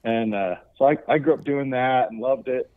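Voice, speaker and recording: A man from southern Utah chatting casually as an interview guest, restarting his phrases haltingly, over a phone call. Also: mid-range voice, no room sound. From southern Utah, USA. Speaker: podcast guest, wildlife biologist and big game coordinator. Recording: muffled phone call, dry.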